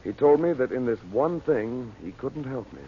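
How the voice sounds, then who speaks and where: An actor playing an earnest, determined mystic adventurer in an old-time radio serial. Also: male voice, medium pitch, lively intonation, moderate pace, natural voice. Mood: earnest, reassuring. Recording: dull, noisy vintage radio recording.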